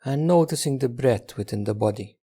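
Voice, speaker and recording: A man leading a guided meditation in a gentle, unhurried manner, leaving long pauses between instructions. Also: mid-range voice, husky.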